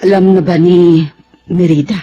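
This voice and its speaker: An actress playing a grave, earnest confidante in a Filipino radio drama, with a raspy voice. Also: female voice, lowish voice, level tone, normal speed, dark tone, lo-fi sound, faint music behind, natural voice. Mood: grave, urging.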